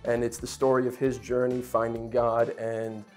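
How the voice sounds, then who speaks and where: A man in his thirties, from Poland and raised in Brooklyn, chatting as a guest actor in a lively, joking way with many restarts, on a lapel mic in a home kitchen. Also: mid-range voice, normal speed, faint music behind. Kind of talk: casual interview chat.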